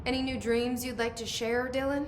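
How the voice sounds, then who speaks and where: A woman's voice: level tone, normal speed, close to an everyday voice. Woman acting guarded sister in an echoey room. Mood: guarded politeness. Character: guarded sister, patient but strained. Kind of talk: video game dialogue acting. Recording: echoey room, faint rumbling noise.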